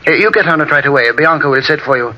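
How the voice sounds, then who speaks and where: A man's voice: a little high, quick pace, close to an everyday voice. A man playing a genial, fussy old Italian painter with an effusive, chuckling manner in an old-time radio drama. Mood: fatherly, rueful. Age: older. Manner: effusive, chuckling, sighing exclamations. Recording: dull, noisy vintage AM radio recording.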